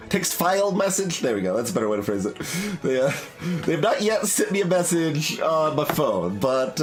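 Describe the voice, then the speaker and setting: A man hosting a game playthrough, talking through his thoughts with many pauses and restarts. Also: mid-range voice. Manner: thinking aloud, many fillers and restarts. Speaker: let's play host. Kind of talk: casual game commentary.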